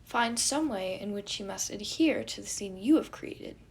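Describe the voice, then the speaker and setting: A bookish teenage adviser voiced by a woman from a storybook tale. Delivery is deadpan and formal, each phrase precise, with dry, logical wit. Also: mid-range voice, normal speed, vocal fry, a little hiss or hum. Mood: advising.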